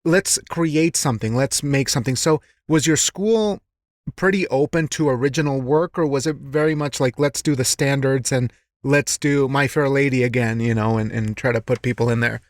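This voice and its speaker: A man's voice: mid-range voice, normal speed, no room sound. Man interviewing, close dry sound. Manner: thoughtful, rephrases his questions mid-sentence. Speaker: podcast host, interviewer. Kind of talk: casual interview.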